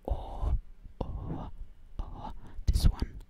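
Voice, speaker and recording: A woman voicing a sinister, obsessive witch in a close, breathy ASMR roleplay, repeating words hypnotically. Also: very slow. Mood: gloating, torn. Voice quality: breathy whisper. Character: sinister, obsessive female witch hunting for victims. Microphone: close microphone.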